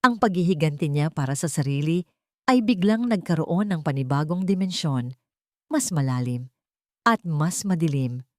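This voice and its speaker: A woman's voice: low voice, lively intonation, normal speed, darkish tone, dry recording, studio quality. A woman giving scripted, dramatic narration of a story.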